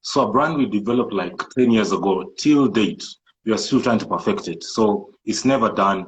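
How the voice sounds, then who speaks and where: Kenyan man, low and measured. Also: rough recording. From Kenyan coast, Malindi. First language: Swahili. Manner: measured, thoughtful, frequent restarts and fillers. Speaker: guest entrepreneur. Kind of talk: casual interview talk.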